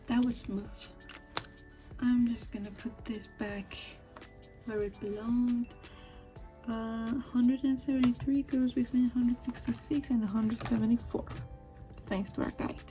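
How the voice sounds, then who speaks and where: Young woman, Persian accent, slow and mellow, chatty draw-along narration, faint music behind. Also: fairly high pitch. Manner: soft-spoken, chatty, thinking aloud. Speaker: drawing video creator. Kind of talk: casual chat while drawing. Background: faint music and marker scratching.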